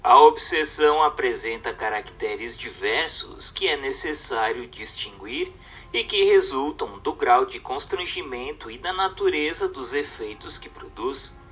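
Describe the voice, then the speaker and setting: A man reads a book as a scripted narration, in a measured, didactic way. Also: medium pitch, lively intonation, a bit bright. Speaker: audiobook narrator. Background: music.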